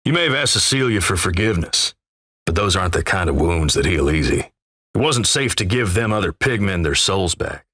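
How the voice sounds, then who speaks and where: A man playing a gruff, folksy, wry Old West narrator in a video game, with a gravelly American Western drawl and measured, ominous storytelling. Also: deep voice, animated, fairly bright tone, dry recording. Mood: somber, judging. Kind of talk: dramatic second-person scripted game narration.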